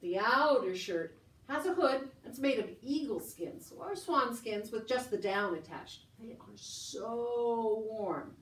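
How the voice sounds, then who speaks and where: Woman as boastful bashful chief puppet, playful, chatty, educational show, echoey room, fairly high voice. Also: lively intonation, slow. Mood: proud, explaining. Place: indoor room, puppet stage. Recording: echoey room, slightly distant mic.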